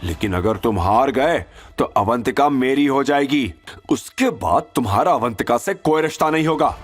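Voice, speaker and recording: A man's voice: mid-range voice, animated, normal speed, faint music behind, close to an everyday voice. A man voicing a scheming, wealthy older woman who sets cold, controlled terms.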